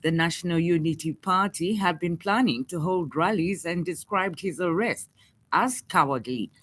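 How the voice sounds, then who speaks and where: A woman's voice: fairly low pitch, moderate pace, a bit bright. A woman with a Zambian accent reads a scripted radio news bulletin as the news reader.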